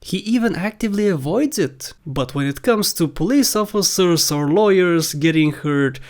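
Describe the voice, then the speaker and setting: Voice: mid-range voice, lively intonation, moderate pace, darkish tone. A narrator with a mid-range voice presents a scripted countdown. The delivery is informal and opinionated, with wry asides and rhetorical questions put to the listener.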